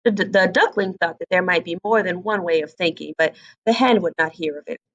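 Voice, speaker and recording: A woman reading a fairy tale aloud as an expressive storybook narrator. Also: fairly low pitch, fairly quick, highs cut off.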